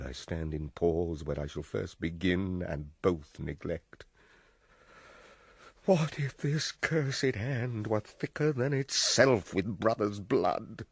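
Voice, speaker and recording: An actor playing a scheming, guilt-ridden usurper king in a Shakespearean radio drama, grave and declamatory in a husky voice. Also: on the low side, no echo, studio quality.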